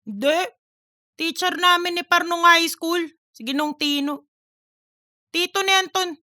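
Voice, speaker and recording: A teasing, self-promoting office buddy played by a woman in a comic audiobook. The voice is extremely high and piercingly bright, with slow, drawn-out slangy delivery and a dry sound. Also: dry recording, exaggerated voice. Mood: persuasive, joking. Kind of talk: scripted comic audiobook dialogue.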